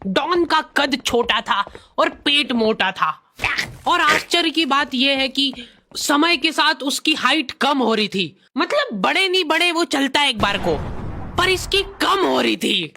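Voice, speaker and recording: High, bright-voiced male cartoon storyteller. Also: lively intonation, measured pace, creaky, slight background noise. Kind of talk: comic first-person cartoon narration. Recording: faint background music.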